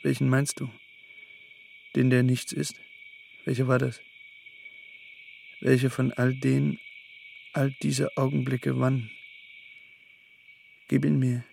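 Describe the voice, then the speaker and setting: An actor playing a brooding, desperate young son in a radio drama, speaking in terse, fragmented phrases. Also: male voice, medium pitch, little pitch movement, slow pace, gravelly, pauses often, a little mellow, a little hiss or hum, low-quality recording. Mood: despairing, brooding.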